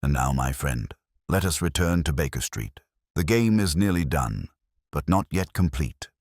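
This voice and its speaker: A male narrator acts out a cool, incisive Victorian detective from a storybook mystery. His voice is very low, yet it rises and falls in animated sweeps. He speaks formally and deliberately, as one who commands the room. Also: no echo, studio quality. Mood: soft but firm. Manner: deliberate, formal, accusatory speech.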